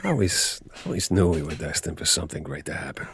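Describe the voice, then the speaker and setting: A man playing a weathered, wistful fortune-seeking adventurer from a video game, with a gravelly voice. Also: extremely low pitch, quick pace, fairly bright tone, a little hiss or hum. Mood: earnest, wistful. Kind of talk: re-enacting video game lines.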